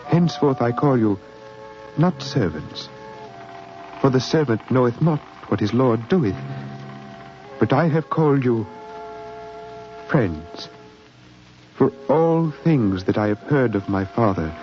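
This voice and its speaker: A man acting an educated, kindly doctor in a 1950s radio drama, measured and articulate with a dry wit. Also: medium pitch, expressive, faint music behind. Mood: warm, earnest. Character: educated English doctor, kindly, principled, dryly witty. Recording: muffled, hissy 1950s radio recording.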